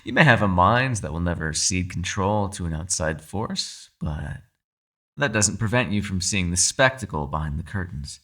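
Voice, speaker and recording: A man performs a captive fox spirit in an ASMR roleplay, low-pitched and crisply bright. He is sly and mock-polite, taunting with theatrical, widely swooping intonation and quiet menace. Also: expressive, moderate pace, heavily acted. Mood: menacing, taunting.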